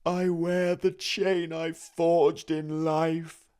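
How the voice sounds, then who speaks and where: A man voicing a tormented male ghost in chains, howling his words in a drawn-out, mournful way. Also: a little high, level tone, darkish tone, clear, resonant tone, voice pushed up. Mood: wailing.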